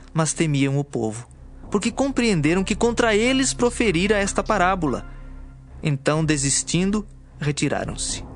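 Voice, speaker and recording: A man narrates scripture from a script for an audio dramatization. His voice is on the high side for a male. Gentle music plays faintly behind the narration.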